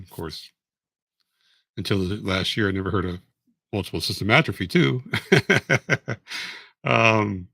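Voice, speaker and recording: A man going live on a casual stream, hoarse and raspy, talking in a rambling, halting way with frequent restarts. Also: on the low side, sharp tone, no room sound. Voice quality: raspy, voice fading. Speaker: patient vlogger going live.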